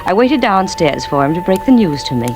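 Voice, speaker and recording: A woman's voice: on the low side, big pitch swings, a little fast. An actress playing a bitter, scheming wife who confides her crime in a vintage radio drama. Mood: cold, gloating. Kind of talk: 1950s radio drama acting, intimate confessional narration. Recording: vintage radio recording with music underneath.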